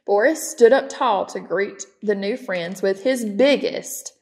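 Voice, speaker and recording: A woman's voice: medium pitch, a little slow, darkish tone, slight background noise. A woman reading a children's picture book aloud as a storytime narrator. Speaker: storytime reader.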